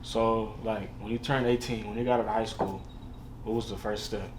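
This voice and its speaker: A man hosts a casual interview in a fairly low voice. He puts short, slangy follow-up questions to his guest. There is faint noise in the recording. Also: fairly even intonation, moderate pace. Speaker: podcast host.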